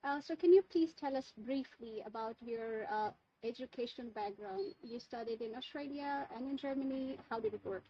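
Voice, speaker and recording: A woman's voice: a little high, moderate pace, few pauses. A woman hosting an interview, asking polite, hesitant questions with many ums. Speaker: interviewer, channel host. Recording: muffled lo-fi audio with faint background noise.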